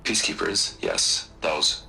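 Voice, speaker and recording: An actor playing an anxious, defensive corporate executive in a video game cutscene, smooth-talking but hedging and flustered under pressure. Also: male voice, very deep voice, sing-song, slow pace, bright, forceful tone, slight background noise, heavily acted. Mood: uneasy.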